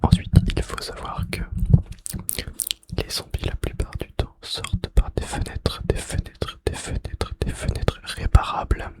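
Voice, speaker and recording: A young man whispering ASMR gaming facts in a breathy, unvoiced voice with mouth sounds, slightly out of breath, repeating phrases slowly, close to the microphone. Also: darkish tone. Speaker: ASMR video creator. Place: bedroom.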